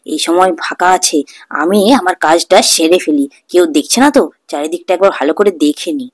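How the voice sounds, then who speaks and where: A jealous middle-aged street-food seller from a children's cartoon, acted out by a woman. He is gruff and sly, with a sneering, scheming manner. The sound is dry and close. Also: lowish voice, a little mellow, no echo, pitched below the performer's own voice, close to an everyday voice. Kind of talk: Bengali children's cartoon dubbing.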